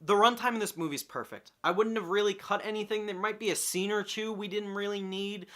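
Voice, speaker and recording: Young man, early twenties, higher-pitched, bright forceful voice, excitable film reviewer, emphatic casual delivery. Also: a little fast. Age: early 20s. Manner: excitable, emphatic fan talk with casual swearing. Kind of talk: enthusiastic video movie review to camera.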